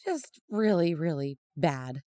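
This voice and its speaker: A woman playing a concerned investigative podcast co-host, narrating in an expressive, conversational way. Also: low voice, slow, dry recording, exaggerated voice. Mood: concerned, explanatory. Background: background music.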